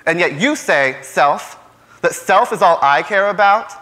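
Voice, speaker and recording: A man's voice: high-pitched, animated, unhurried, pushed and bright, pitched above the performer's own voice, exaggerated voice, rough recording. A man performing a stage monologue as a frustrated, defiant young working-class man, with impassioned, sarcastic delivery. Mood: bitter, exasperated.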